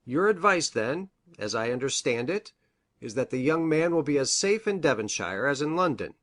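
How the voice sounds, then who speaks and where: An earnest Victorian country doctor, acted by a man, seeking counsel in a detective story. He talks in a formal, deliberate way at a middling pitch, with an anxious gravity. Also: sing-song, a bit bright. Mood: formal, questioning. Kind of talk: dramatised reading of a Victorian detective novel.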